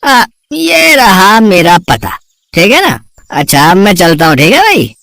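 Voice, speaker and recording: A man's voice: sits high, wildly swooping pitch, unhurried, vocal fry, sharp tone, no echo, clean studio sound, heavily acted. A man voicing a sly middle-aged swindler and gambler, insinuating and coaxing, in a moral story. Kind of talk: Hindi moral story voice acting.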